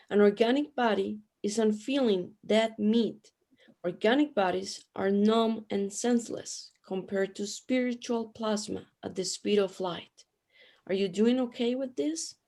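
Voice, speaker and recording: A woman's voice: fairly high pitch, dark tone. A woman playing a knowing, dryly teasing resident of a spirit realm in a sci-fi radio drama, matter-of-fact in manner.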